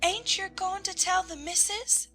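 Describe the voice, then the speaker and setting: A woman's voice: extremely high pitch, fairly even intonation, unhurried, pushed and bright, faint music behind, pitched above the performer's own voice, full character voice. A woman voicing a humble, frightened young scullery maid with a Cockney accent, timid and stammering, in a dramatized storybook. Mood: anxious.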